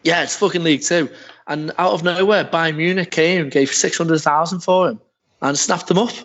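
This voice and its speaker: A man's voice: on the high side, moderate pace, a bit bright. A man on a podcast panel telling a football story casually, swearing now and then. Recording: dull lo-fi podcast audio.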